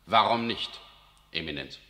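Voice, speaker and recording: A grave, frank German visitor in an old radio play. His deep voice is bright-toned and varies widely in pitch. A long studio reverb trails behind it. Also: animated. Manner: grave, measured, urgent. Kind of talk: 1960s German radio drama acting.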